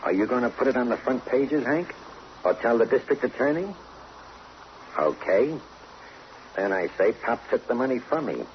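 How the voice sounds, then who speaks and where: An actor playing a smug, menacing gambler in an old-time radio crime drama, with mocking, slangy tough talk. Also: male voice, medium pitch, expressive, normal speed, long pauses, close to an everyday voice. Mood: smug, pushy. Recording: noisy muffled old radio drama recording.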